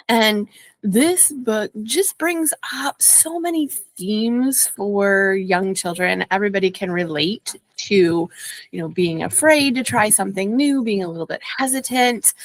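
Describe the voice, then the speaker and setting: A woman's voice: medium pitch, dry recording. A woman preschool teacher giving an informal, explanatory talk recommending picture books. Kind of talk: casual book recommendation talk.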